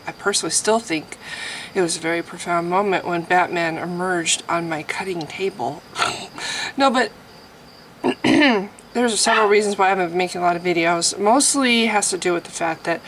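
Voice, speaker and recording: A woman talks to her camera in a loose, rambling chat, chatty and self-deprecating, her voice sitting a little low. Her throat is scratchy and she keeps clearing it between thoughts. A faint hiss of noise runs under her voice. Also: a bit bright. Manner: chatty, self-deprecating, frequent laughs and restarts. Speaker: vlogger.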